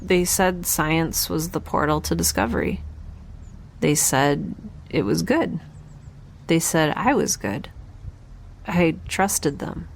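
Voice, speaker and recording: A woman playing a defensive, overwhelmed young woman in a naturalistic audio drama, speaking haltingly. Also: low voice, lively intonation, creaky, slight background noise, full character voice. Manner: halting, hesitant, self-correcting.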